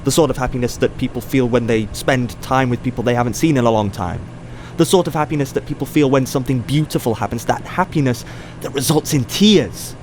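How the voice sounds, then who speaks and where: A man acts out an inquisitive ethics professor with a medium-pitched voice. He is conversational and probing, feeling his way through his questions. It sounds like an audio drama, with faint city ambience behind him. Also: brisk, a little hiss or hum, close to an everyday voice. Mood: fascinated, thoughtful. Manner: conversational, probing, hesitant restarts.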